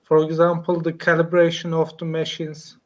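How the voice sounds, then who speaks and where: A man's voice: fairly high pitch, completely flat delivery, moderate pace, dull audio. A man from Turkey with a Turkish accent, speaking English as a learner on a video call, hesitant and full of fillers as he searches for words. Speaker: English learner, computer engineer. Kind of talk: casual online English lesson chat.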